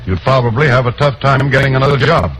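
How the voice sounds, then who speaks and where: A man's voice: medium pitch, quick pace, a bit bright, natural voice. An American actor playing an earnest, persuasive male radio station boss in a 1950s radio drama, recorded on noisy old equipment. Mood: firm, calculating.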